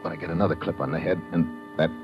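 A man's voice: extremely low pitch, fairly even intonation, rapid-fire, lo-fi sound. A man with an American accent playing a tough, wry agent, terse and slangy, narrating an old radio crime drama. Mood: tense narration. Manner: hard-boiled, terse, slangy. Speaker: lead actor and narrator. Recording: band-limited old radio recording, dramatic music.

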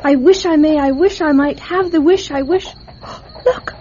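High-voiced, wistful little farm girl. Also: a little slow, mellow. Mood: excited wonder. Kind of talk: radio drama acting. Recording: dull, noisy radio recording with crickets.

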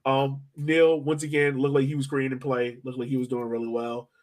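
A man's voice: mid-range voice, flat delivery, normal speed, a little mellow. An American man co-hosting a sports podcast in a casual, slangy chat style, breaking down players and performances. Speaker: podcast co-host.

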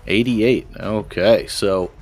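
A man acting as game master, calling rolls and rulings in a tabletop game. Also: fairly low pitch. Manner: rules-focused, quick to adjudicate.

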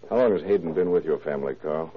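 An actor playing a gruff, probing police detective with clipped, dryly sarcastic delivery in a 1940s radio crime drama. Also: male voice, rumbling low, fast, slight background noise. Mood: brisk questioning. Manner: clipped, commanding, questioning. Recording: muffled, band-limited old radio recording.

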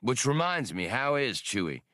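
A man voicing a sly, hearty old trooper with a gravelly voice and folksy talk in an audiobook. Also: medium pitch, sing-song, normal speed, fairly bright tone, dry recording, studio quality, voice pushed up. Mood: warm, hearty. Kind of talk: audiobook character dialogue.

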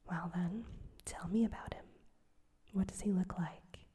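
A woman voicing an earnest, lovestruck young man in a soft whisper. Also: on the low side, measured pace, husky. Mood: pleading. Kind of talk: whispered audiobook reading.

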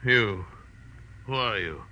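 A man's voice: on the low side, lively intonation, slow, sharp tone, highs cut off, exaggerated voice. An actor playing a towering, possessive, hot-tempered island man, declaiming pompously and with threat in 1940s radio drama style. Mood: challenging.

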